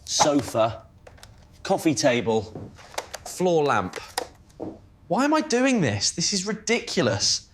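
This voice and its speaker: A woman's voice: sits low, very animated, slow pace, long pauses, background noise, voice pushed down, heavily acted. A woman playing a frustrated learner who recites furniture words and grows exasperated. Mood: fed-up.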